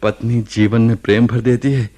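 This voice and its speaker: A devoted, open-hearted young husband, acted by a man for a radio drama. His voice sits at an ordinary male pitch. He talks in an earnest, affectionate way. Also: flat delivery, normal speed, dark tone, natural voice. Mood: affectionate, playful. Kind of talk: Hindi radio drama acting.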